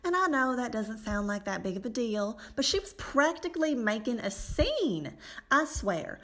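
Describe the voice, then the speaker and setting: A woman's voice: on the high side, sing-song, moderate pace, a bit bright. A woman playing a gossipy, self-righteous and easily exasperated church lady in a comedic radio play, with a folksy, indignant, dramatic delivery. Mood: gossipy, disapproving.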